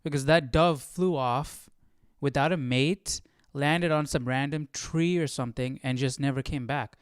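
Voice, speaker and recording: A man gives casual commentary as host of a Bible study stream. His voice is clear and mid-pitched, with a dry, close sound. He thinks aloud in an informal, halting way. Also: steady tone, no room sound.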